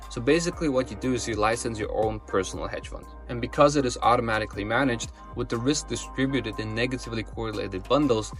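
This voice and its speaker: A man in his twenties from Austria, a company co-founder delivering a scripted promotional monologue in a confident, steady way. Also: mid-range voice. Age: 20s.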